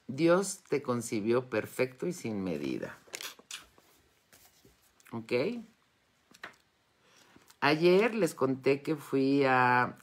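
A woman in her fifties with a Mexican accent, chatting casually and effusively with followers in a live session. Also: rumbling low. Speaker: wellness and metaphysics teacher hosting a live Q&A. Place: indoors, home study with bookshelves. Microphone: phone or tablet at arm's length.

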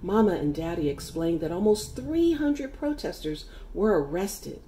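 A middle-aged woman reading a children's picture book aloud with deliberate, emphatic, dramatic phrasing. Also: fairly low pitch, expressive, warm tone, a little hiss or hum. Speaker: read-aloud storyteller.

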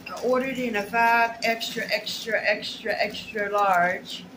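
A woman's voice: on the high side, steady tone, a little slow, pushed and bright. A middle-aged woman chatting casually and plainly to camera, rambling in short bursts as she shows her shopping. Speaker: shopping haul vlogger. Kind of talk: casual unboxing chat to camera. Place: small plain indoor room at home. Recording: roomy echo, noisy home recording.

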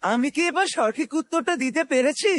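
Man as an earnest, very high-voiced young hero. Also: lively intonation, a little fast, fairly bright tone. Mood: confident. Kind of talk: cartoon voice acting.

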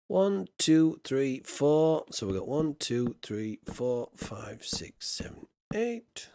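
Tired man streaming with lively pitch. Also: slow pace, no room sound, clean studio sound. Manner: jokey, mimics accents in short bursts, counts under his breath. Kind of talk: casual live-stream chat while building.

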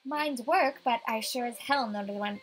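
An adult woman reading expressive first-person narration from a visual novel script. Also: on the high side, normal speed. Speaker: player voicing a visual novel.

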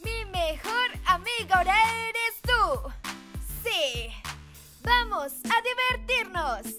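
Magical talking owl: very high, slow-paced, boastful and theatrical, for children's animation over loud music. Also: female voice, lively intonation, heavily acted. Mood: joyful. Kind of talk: children's cartoon dubbing.

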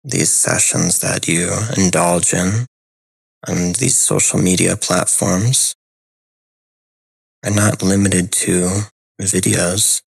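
A man speaking soft ASMR as a hypnotist, hushed and breathy, soothing and deliberate, close to the microphone. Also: low-pitched, fairly even intonation, no echo, rough recording. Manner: slow, soothing, deliberate with pauses.

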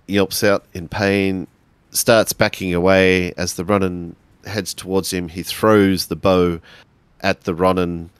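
Deep-voiced man, very slow and halting. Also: clean tone, dry recording. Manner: halting, searching for words. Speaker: tabletop role-player. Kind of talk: improvised tabletop game narration.

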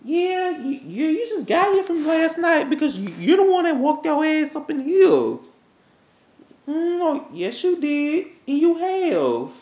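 A woman performs an angry, wounded woman in a relationship melodrama. Her voice is high and slow, stumbling and repeating as she accuses her cheating lover. The sound is roomy and echoey. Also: sing-song, warm tone. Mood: angry, accusing. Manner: stammering, repetitive, confrontational.

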